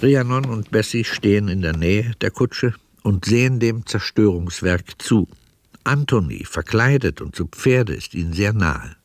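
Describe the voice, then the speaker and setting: Low-voiced male radio play narrator. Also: animated, unhurried.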